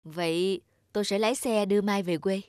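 Actor as a lonely, discontented husband. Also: male voice, high voice, steady tone, brisk, no echo, clean studio sound, natural voice. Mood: tender, earnest. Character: lonely husband and father, discontented with his wife. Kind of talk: animated cartoon dialogue. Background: music.

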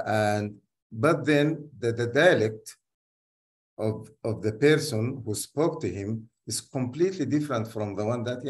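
A man's voice: fairly low pitch, a little mellow. A man working as a doctor and community leader, whose first language is Arabic, speaking in a hesitant way with many restarts in a casual discussion.

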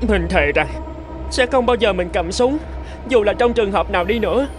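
A man's voice: high-pitched, moderate pace, soft background music, natural voice. A man voicing a young soldier in a cartoon, earnest and solemn. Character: young soldier refusing to carry a gun. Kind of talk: cartoon dubbing.

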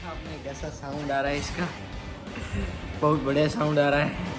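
A man's voice: on the high side, little pitch movement, normal speed, over music. A young man, casually narrating a vlog to his viewers. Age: young adult. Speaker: vlogger. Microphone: phone at arm's length.

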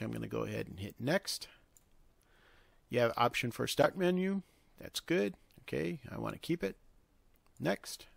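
A man hosting an informal software tutorial, talking step by step in an instructional way. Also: mid-range voice, creaky, no room sound. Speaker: tutorial host.

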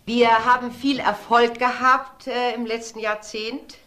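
An actress playing a company boss giving a formal, ceremonial speech to staff in a crime radio drama. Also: female voice, a little high, level tone, unhurried, sharp tone. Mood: solemn.

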